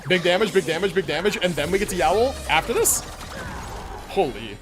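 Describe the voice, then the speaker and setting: A man with a high, very animated voice is streaming a game. He talks casually, works out his moves aloud and answers chat, with plenty of slang and swearing. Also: fairly quick, over music. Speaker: game livestreamer. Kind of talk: casual gameplay commentary with chat replies.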